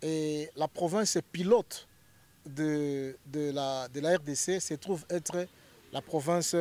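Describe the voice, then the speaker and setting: A man's voice: on the high side, takes it slowly, a little mellow. A man from Congo, a lawyer, explaining his association in an interview, hesitant with many fillers and growing more emphatic.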